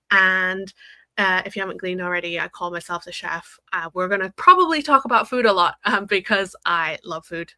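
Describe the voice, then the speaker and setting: Bright-voiced woman from Hong Kong. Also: fairly low pitch, no room sound. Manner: chatty, laughs often, many fillers. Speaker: guest, brand designer. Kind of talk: casual interview chat.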